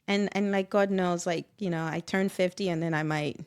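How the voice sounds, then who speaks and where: A middle-aged woman talks in a reflective, personal conversational style. Her pitch sits in the middle for a woman. She is expressive and animated, slipping in small dramatised asides as she goes. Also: moderate pace, clean tone. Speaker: guest.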